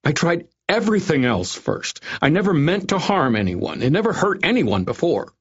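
A man's voice: very high-pitched, huge pitch swings, takes it slowly, fairly bright tone, lo-fi sound, voice pushed up, full character voice. A man voicing a terrified, vain, cringing middle-aged doctor in an audiobook, stammering and pleading as he wails. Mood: cringing, frightened. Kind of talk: audiobook character voice.

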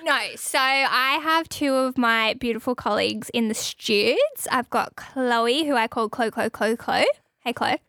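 A woman's voice: measured pace, sharp tone, no room sound. A woman, hosting a casual podcast chat in a radio studio and laughing often. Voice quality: high-pitched. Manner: bubbly and chatty, laughs often. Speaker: podcast host.

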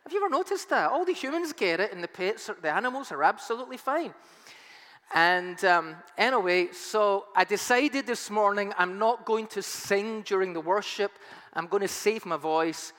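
A man's voice: sits high, animated, moderate pace, a bit bright. A man preaching a sermon as pastor, his voice strained and nearly gone, talking in a chatty way with self-mocking humor. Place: church hall. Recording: long hall reverb, faint music.

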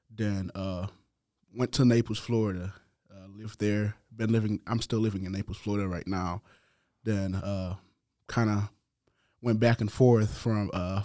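A man in his twenties from Haiti, a college football player answering interview questions in a soft-spoken, halting way. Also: fairly low pitch, no echo, clean studio sound. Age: 20s.

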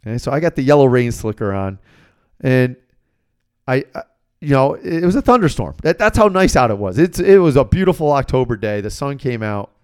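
An American man hosting a sports podcast as a coach, talking casually and bluntly in an animated storytelling way. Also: mid-range voice, brisk, clean tone. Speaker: sports betting podcast host and basketball coach.

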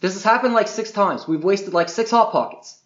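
A man's voice: high-pitched, moderate pace, a bit bright, highs cut off, slight background noise. A man playing an exasperated, boastful famous actor on a Bigfoot hunt, complaining in a whiny, incredulous way in improvised comedy. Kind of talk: improvised comedy character monologue and banter.

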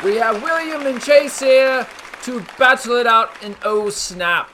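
A man voicing a rambling, laid-off cousin who now hosts a podcast game show, with a high put-on voice and slangy bluster. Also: expressive, unhurried, bright, forceful tone, a faint crowd, a little hiss or hum, full character voice. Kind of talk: comedic character monologue on a podcast. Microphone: podcast mic.

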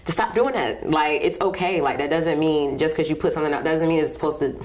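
A woman with a very deep voice hosts her own podcast. She talks in a relaxed, candid way and lets her thoughts wander. Her tone stays casual and open. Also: fairly quick, low-quality recording. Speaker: podcast host.

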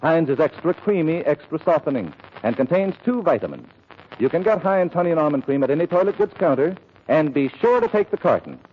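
A male radio announcer with a slightly high, animated voice. He delivers polished, emphatic 1940s advertising copy. The old broadcast recording is dull with a little hiss. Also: fairly quick.

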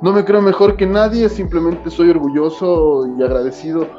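Ecuadorian man, mellow and rambling. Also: a little high, moderate pace, highs cut off, faint music behind. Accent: Ecuadorian Spanish. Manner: animated, rambling, fills with "o sea". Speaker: musician guest. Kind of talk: casual interview talk.

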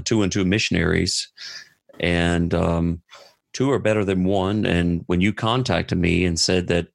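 A man co-hosts a podcast and talks earnestly in a preaching manner, with many "uh" fillers. His voice is low and gravelly, and the sound is dry and close. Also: no room sound. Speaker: podcast co-host, missionary. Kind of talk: casual podcast conversation.

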